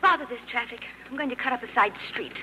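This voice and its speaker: A woman acts out a restless young upper-class English woman in a radio play. Her voice sits high, spirited and earnest. The vintage 1950s recording is noticeably noisy. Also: fast talker, natural voice. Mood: restless, exasperated. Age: young adult. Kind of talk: 1950s radio drama acting.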